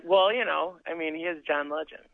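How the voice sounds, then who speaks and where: A woman's voice: low-pitched, brisk, gravelly. A woman calling into a radio show as a singing contestant. She talks in a bubbly, giggly, chatty way. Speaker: singing contestant, phone guest. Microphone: telephone. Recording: tinny phone line.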